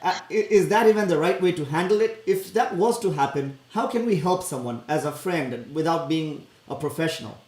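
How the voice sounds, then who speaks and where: A man's voice: a little high, animated, brisk, few pauses, a little mellow. Hesitant man in an echoey room. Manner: thoughtful and hesitant, with many fillers. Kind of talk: casual chat.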